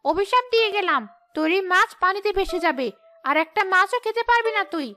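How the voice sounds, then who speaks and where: A woman's voice: very high voice, lively intonation, pushed and bright, exaggerated voice. A woman voicing a sly, sulky, greedy female ghost wife in a cartoon, whining and scheming. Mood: sulky, angry. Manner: whining, scheming, quick to complain. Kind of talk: cartoon ghost-story dialogue.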